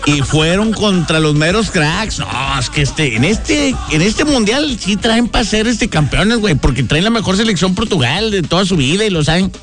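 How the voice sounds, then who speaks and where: Monterrey-accented male radio host, joking and slangy, high lively voice, music bed faint. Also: normal speed, few pauses. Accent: northern Mexican, Monterrey. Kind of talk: casual morning-radio banter. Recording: music bed playing behind.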